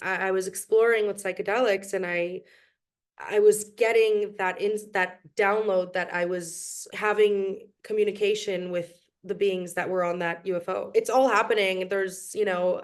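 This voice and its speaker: A young woman from New York, a podcast guest who speaks in a reflective, casual way with frequent ums and restarts. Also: mid-range voice. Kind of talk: casual interview chat.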